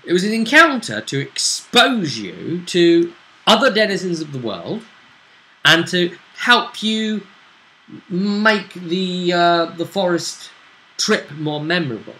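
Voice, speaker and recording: A man recapping a tabletop role-play session as game master, casually, in a halting, playful way. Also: high-pitched, big pitch swings, sharp tone, low-quality recording.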